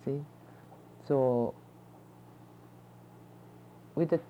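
Older woman, low voice, lo-fi. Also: drawn-out words. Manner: rambling, explains with tag "you see". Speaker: interviewee. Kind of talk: oral history conversation. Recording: dull lo-fi recording with faint noise.

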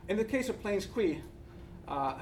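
A man with a Finnish accent gives an academic presentation, speaking haltingly and searching for words. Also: high voice, animated, a little mellow. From Finland. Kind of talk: conference presentation with slides. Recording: distant mic, faint background noise.

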